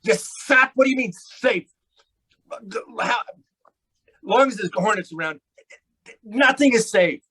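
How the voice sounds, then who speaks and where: A man's voice: sits high, expressive, slow, long pauses, sharp tone, breathy edge, full character voice, poor audio. A man acting a bossy, impatient gangster barking orders in an old-time radio crime drama. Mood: agitated. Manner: impatient, commanding.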